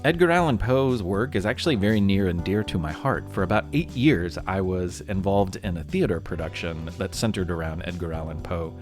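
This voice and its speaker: A man's voice: sits low, animated, a little fast, few pauses, soft background music. A man hosting and narrating a history podcast for children, reading a script in a conversational, playfully dramatic way.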